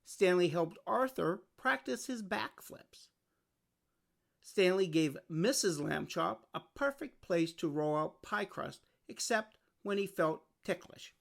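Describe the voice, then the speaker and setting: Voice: medium pitch, slow pace, creaky. A storyteller reading a scripted children's picture book aloud. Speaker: storybook reader.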